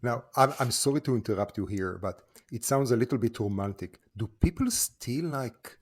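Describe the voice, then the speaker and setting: A man's voice: medium pitch, expressive, moderate pace. A man hosting a casual interview podcast, asking long, friendly, rambling questions. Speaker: podcast host.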